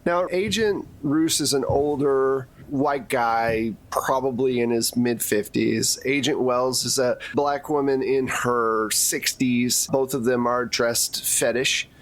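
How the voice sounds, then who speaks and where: A man runs a tabletop roleplaying game. He lays out scenes at a slow, measured pace in a medium-pitched voice, conversational and informal as game master. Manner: casual, conversational scene-setting.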